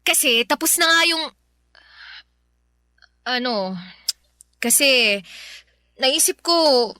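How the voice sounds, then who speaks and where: A clingy young woman in a radio drama, with a high, bright voice. She speaks earnestly and haltingly as she pleads, recorded close and dry over faint music. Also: expressive, smooth, no room sound, heavily acted. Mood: apologetic, hesitant. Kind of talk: Filipino radio drama acting.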